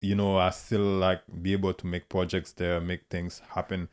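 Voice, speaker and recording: Very deep-voiced man. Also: level tone, smooth. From Haiti. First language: Haitian Creole. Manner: halting, searching for words. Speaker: guest artist. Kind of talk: casual conversation.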